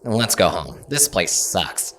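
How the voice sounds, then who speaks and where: A man voicing an earnest, exasperated young crew member in a comedy audio drama, expressive and incredulous. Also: deep voice, measured pace, very noisy.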